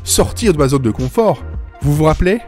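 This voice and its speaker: Animated, bewildered comic storyteller played by a man, music behind. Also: on the high side, brisk, close to an everyday voice. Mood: animated, wary. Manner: rambling, self-interrupting, exclaiming, addresses the listener. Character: bewildered, sarcastic male storyteller stranded inside his own wild ideas. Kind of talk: first-person comic audio fiction narration.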